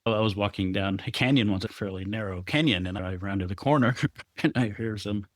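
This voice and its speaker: A man's voice: on the low side, a little fast, dry recording, clean studio sound. A middle-aged man from Israel, a photographer, telling stories thoughtfully in an interview and laughing often. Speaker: guest photographer. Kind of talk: reflective conversational interview.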